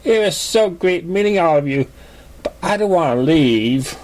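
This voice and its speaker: A sweet, clingy mare from a pony storybook, acted out by a man, speaks up high. She is affectionate and openly attached to her friends. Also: animated, moderate pace, darkish tone, a little hiss or hum, natural voice. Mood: wistful.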